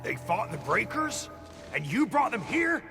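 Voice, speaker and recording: A man's voice: high-pitched, big pitch swings, moderate pace, creaky, pushed and bright, exaggerated voice. An actor playing a wary, scolding older man who leads a shelter in a video game. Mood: scolding, alarmed. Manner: suspicious, scolding. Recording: long reverb, music behind.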